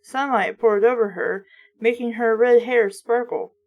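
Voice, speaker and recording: A woman's voice: a little high, a little slow, poor audio. A woman hosting an informal read-aloud of books, with casual, snarky asides along the way. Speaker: host reading books aloud.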